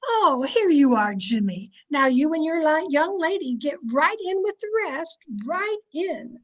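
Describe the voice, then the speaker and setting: An actress playing a gushing, self-satisfied, bossy society hostess in an old-time radio drama, speaking in an affected, grand manner. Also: female voice, sits high, big pitch swings, unhurried.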